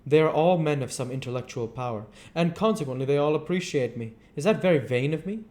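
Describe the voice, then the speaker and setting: A man voicing a witty, vain English gentleman from a novel, with an exclamatory, teasing and pompous manner. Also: fairly high pitch, quick pace, lightly acted. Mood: flippant, mock indignant.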